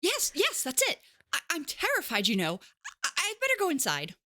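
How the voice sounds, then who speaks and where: A woman voicing a young princess under a curse, speaking softly and earnestly. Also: high-pitched, wildly swooping pitch, a little fast, no room sound, studio quality, heavily acted. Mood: flustered. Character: young princess cursed to turn ogre by night.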